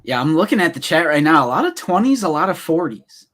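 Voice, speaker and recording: A man's voice: fairly high pitch, very animated, fast talker, a bit bright. A man in his twenties hosting a livestream chat, upbeat and addressing the live chat. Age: 20s. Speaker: host.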